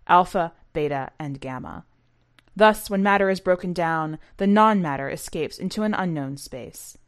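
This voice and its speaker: A woman narrating a short story for a podcast in a measured, precise, scripted audiobook style. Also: on the low side.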